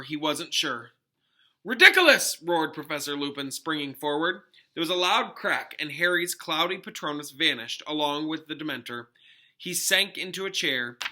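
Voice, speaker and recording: A man narrates a storybook with expression, as a teacher reading to a class would. His voice is very bright and forceful, pitched slightly high. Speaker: teacher reading a novel aloud.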